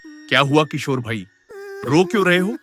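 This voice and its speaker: A man's voice: fairly high pitch, animated, normal speed, fairly bright tone. A man playing an earnest, uneasy village farmer and concerned neighbour in a dramatized moral story.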